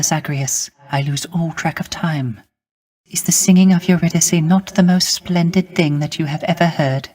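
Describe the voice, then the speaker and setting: A man playing a gentle, melancholy male musician of the underworld in a video game, speaking formally and courteously with wistful, poetic, old-fashioned phrasing. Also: a little high, lightly acted. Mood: adoring, grateful. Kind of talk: scripted video game character acting.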